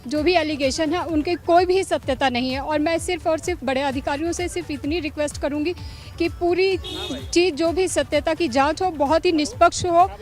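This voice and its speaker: Woman making a public appeal, very high voice, insistent, faint music underneath. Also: moderate pace, a little mellow. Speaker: wife of an accused man appealing. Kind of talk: earnest statement to reporters.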